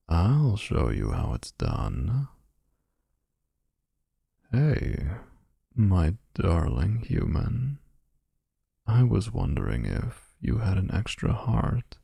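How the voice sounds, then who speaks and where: A man's voice: deep voice, gravelly, mellow, husky, dry recording, full character voice. A man voicing a snarky, possessive male vampire suitor in a boyfriend ASMR roleplay, mocking with dry sarcasm.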